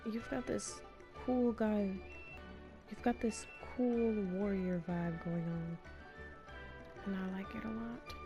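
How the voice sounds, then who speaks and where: A woman's voice: mid-range voice, fairly even intonation, takes it slowly, pauses often, dark tone, faint music behind. A young woman game streamer giving casual, playful, reactive live commentary.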